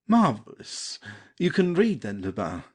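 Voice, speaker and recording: An actor playing a polite, cautious gentleman lover in a classic comedy audio play, speaking formally and with measure. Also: medium pitch, huge pitch swings, measured pace, breathy edge, exaggerated voice. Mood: measured, patient.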